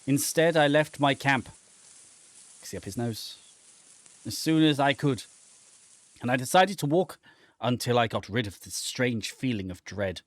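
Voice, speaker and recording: A man's voice: mid-range voice, lively intonation, unhurried, long pauses, no room sound, lightly acted. A man voicing an anxious, tormented submariner who narrates his own humiliation in a dramatic, agitated way. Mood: uneasy. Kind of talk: dramatic reading of a horror visual novel.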